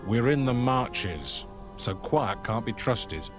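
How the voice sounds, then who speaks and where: A man's voice: mid-range voice, lively intonation, takes it slowly, music in the background. A man playing an earnest, vigilant, duty-minded adventurer in a fantasy video game. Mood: serious.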